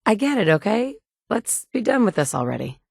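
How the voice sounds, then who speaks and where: A woman's voice: mid-range voice, very animated, moderate pace, gravelly, darkish tone, husky, dry recording, exaggerated voice. An actress in her thirties playing a tough, wry woman survivor in a video game, talking in a blunt, matter-of-fact way from a studio booth. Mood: tender. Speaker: player character. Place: recording booth. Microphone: studio mic.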